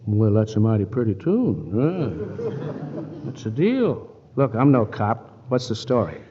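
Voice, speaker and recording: Smart-mouthed lawyer detective, bouncy voice, old muffled radio sound. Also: male voice, on the low side, sing-song, slow, slight background noise. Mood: wry. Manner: breezy, flirtatious quips. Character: wisecracking middle-aged male lawyer sleuth. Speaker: lead actor in radio play. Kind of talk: 1940s radio acting. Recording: muffled 1940s radio broadcast.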